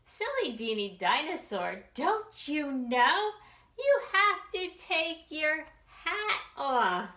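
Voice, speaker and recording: A woman's voice: high-pitched, sing-song, slow, sharp tone, echoey room, voice pushed up, full character voice. A woman voicing a loving, fussing mother dinosaur in a children's storybook, with exclaiming, sing-song scolding. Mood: playful scolding. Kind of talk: children's storybook read-aloud.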